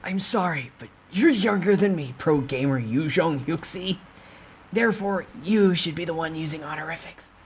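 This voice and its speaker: A man's voice: fairly high pitch, moderate pace, a little hiss or hum, voice pushed up, natural voice. A man voicing a cheeky, defiant young office worker who talks casually and impudently in a dramatic novel reading.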